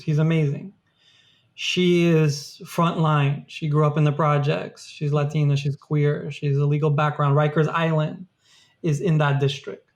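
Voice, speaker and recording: A man with a slightly high voice co-hosts a casual podcast. His talk is loose and chatty, full of "like" and "um" as he goes along. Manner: loose, filler-heavy talk with frequent "like" and "um". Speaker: podcast co-host. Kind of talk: casual political chat.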